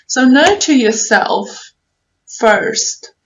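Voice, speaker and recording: Slow-paced woman giving a tarot reading. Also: high-pitched, expressive, highs cut off. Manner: halting, repeats phrases, thinks aloud. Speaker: tarot reader, online show host. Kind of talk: unscripted tarot reading, advice to viewers.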